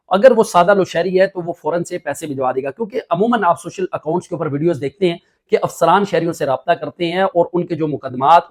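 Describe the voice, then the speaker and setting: A man with a Pakistani accent giving a spoken news report with commentary, emphatic and padded with repeated filler phrases. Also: on the high side.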